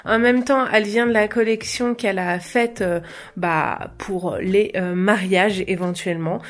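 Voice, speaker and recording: A woman's voice: medium pitch, steady tone, a little slow. A young woman chatting casually to camera about clothes she bought, hesitant, with many fillers and small laughs. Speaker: fashion vlogger. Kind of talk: casual haul chat to camera.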